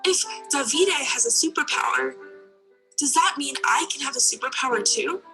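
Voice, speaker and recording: Woman reading as an eager, bright-voiced little girl. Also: high voice, moderate pace, faint music behind, voice pushed up, exaggerated voice, low-quality recording. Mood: wondering, hopeful. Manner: excited, wondering. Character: eager, imaginative little girl dreaming of superpowers.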